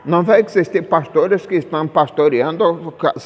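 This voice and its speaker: Male preacher, fairly high voice, admonishing sermon delivery blending Portuguese and Spanish, strongly echoing hall sound. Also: moderate pace. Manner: mixes Portuguese and Spanish as he speaks; admonishing, insistent, with rhetorical questions. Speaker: pastor preaching. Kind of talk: sermon with slow scripture reading and exposition. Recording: long hall-like reverb.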